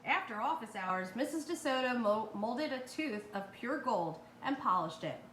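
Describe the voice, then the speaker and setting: A woman's voice: a little high, expressive, normal speed, a bit bright. A woman reading a children's story aloud expressively as a storyteller. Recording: roomy, echoey with faint noise.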